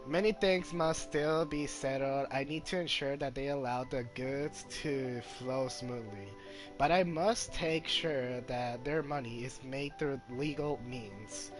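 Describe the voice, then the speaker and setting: A man's voice: mid-range voice, measured pace, music in the background. A man doing informal gameplay commentary and reading game text aloud, stumbling over words now and then. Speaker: gaming video host, player.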